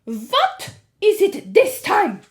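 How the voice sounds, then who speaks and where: A woman voicing a cruel, imperious female witch leader who snaps her commands loudly. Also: very high-pitched, big pitch swings, moderate pace, voice pushed up. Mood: impatient. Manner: snapping, commanding, loud.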